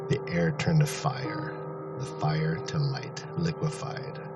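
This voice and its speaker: A man giving a grave, deliberate, dramatic narration of a fantasy novel. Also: extremely low pitch, steady tone, recorded from a distance. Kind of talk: dramatic audiobook-style scripted narration. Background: music.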